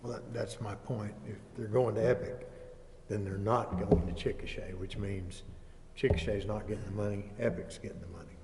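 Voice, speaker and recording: A man's voice: lowish voice, fairly even intonation, normal speed. A man asking pointed questions at a public meeting. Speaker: meeting participant questioning a presenter. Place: large indoor council chamber. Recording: echoey hall, noisy, off the main mic.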